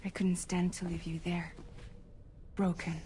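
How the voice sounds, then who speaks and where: An actress playing a devoted woman gently pleading with and reassuring a grieving friend in a video game cutscene. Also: fairly low pitch, flat delivery, a little slow, slight background noise, natural voice. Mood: tender. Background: music score.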